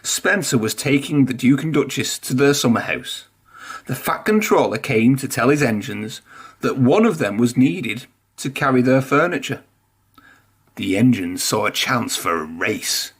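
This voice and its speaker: A man narrating a children's story aloud in an expressive read-aloud style. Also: medium pitch, unhurried, husky. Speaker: storybook reader.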